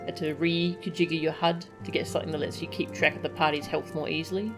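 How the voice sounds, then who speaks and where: A woman's voice: low-pitched, steady tone, quick pace. A woman streaming a game, giving casual commentary and chatting with her viewers. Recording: game music behind the voice.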